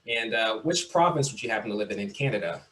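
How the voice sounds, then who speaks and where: A young man from Mississippi hosting a podcast, talking in a relaxed, chatty way and repeating his phrases. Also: lowish voice, quick pace, poor audio. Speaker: podcast host. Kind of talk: casual interview.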